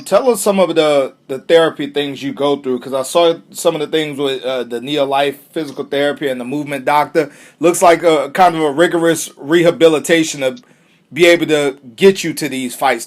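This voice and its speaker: Friendly interviewer with a slightly high voice. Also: male voice, moderate pace. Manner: friendly, calls guest brother. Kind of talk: casual interview questions.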